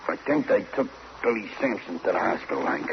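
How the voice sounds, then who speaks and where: A slow-witted young prizefighter, earnest and childlike. His creaky voice rises and falls widely in halting, plaintive phrases. It is an old radio drama with muffled, noisy sound. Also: deep voice, big pitch swings, heavily acted. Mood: dejected. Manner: halting, simple, plaintive speech. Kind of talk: old-time radio crime drama acting.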